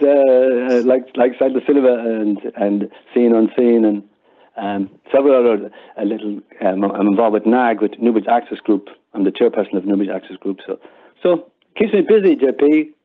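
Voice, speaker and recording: An older Irish man chatting casually and reminiscing as an interview guest, often repeating words as he goes. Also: lowish voice. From Ireland. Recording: muffled, phone-like narrowband line.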